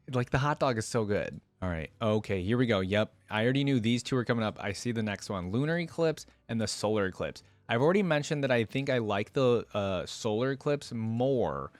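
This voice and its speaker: A man's voice: on the low side, no echo. A man hosting a reaction video, giving informal, off-the-cuff commentary and thinking aloud. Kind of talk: casual off-the-cuff reaction commentary on game skins.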